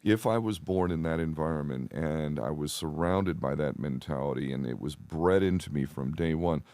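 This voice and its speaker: An extremely deep-voiced man talks as a podcast guest, recorded dry with no room sound. He is earnest and deliberate in a conversational, storytelling way, often interrupting himself to rephrase. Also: a little mellow, dry recording.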